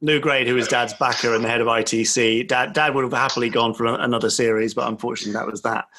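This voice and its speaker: A man's voice: mid-range voice, steady tone, fast, pushed and bright, slight background noise. A man hosting a casual interview, speaking in a polite, thoughtful way. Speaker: podcast host.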